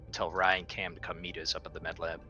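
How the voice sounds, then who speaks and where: Low, forceful man with noisy background. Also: flat delivery, fast talker, muffled recording. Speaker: player in a tabletop game, playing a captain. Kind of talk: casual, profane game chat.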